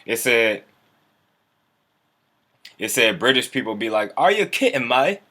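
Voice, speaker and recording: A man's voice: medium pitch, big pitch swings, measured pace, bright, forceful tone. A man hosting a casual livestream, talking in a laid-back, slangy, profane way and chuckling often. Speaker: livestream host.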